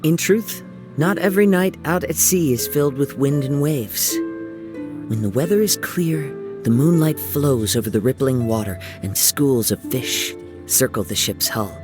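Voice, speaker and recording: Casting: male actor as serene young samurai wanderer for a game, gentle, poetic, mid-pitched, music underneath. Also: measured pace, lightly acted. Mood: wistful. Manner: soft-spoken, reflective, lyrical phrasing. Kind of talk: video game character voice lines.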